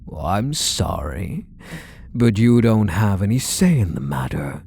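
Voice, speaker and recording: Man acting a slow, coaxing possessive yandere lover. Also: fairly low pitch, big pitch swings, gravelly, slightly rough, dry recording, heavily acted. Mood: tender, controlling. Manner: soft, coaxing, condescending pet-name endearments. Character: possessive, doting male yandere kidnapper, obsessively in love. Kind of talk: intimate ASMR roleplay audio drama.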